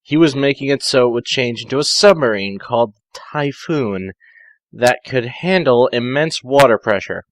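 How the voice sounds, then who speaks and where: A man's voice: mid-range voice, expressive, normal speed, no room sound. A man reading amateur fan fiction aloud, breaking in with dry, sarcastic asides.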